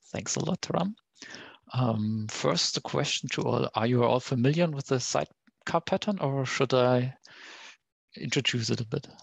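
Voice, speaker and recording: A man giving an explanatory tech presentation on an online call, in a careful, halting way. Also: mid-range voice, creaky, breathy edge, dry recording. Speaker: guest presenter, software developer. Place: online conference call with screen share.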